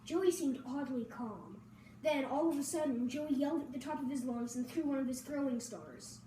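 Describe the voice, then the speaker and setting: A woman's voice: high voice, normal speed, dark tone. A woman reading a scripted first-person story aloud as narrator. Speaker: reader at a table read. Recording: roomy echo, distant mic, faint noise.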